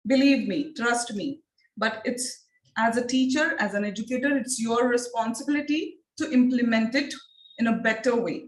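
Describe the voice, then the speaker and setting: A woman with an Indian accent leading an online phonics training for teachers, speaking deliberately and repeating her questions to the trainees. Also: fairly high pitch, roomy sound, poor audio. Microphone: video call.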